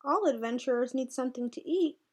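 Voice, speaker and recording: A woman voicing a gentle, agreeable babysitting bear from a picture book, in a mild, unhurried way. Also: sits high. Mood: gentle, calm.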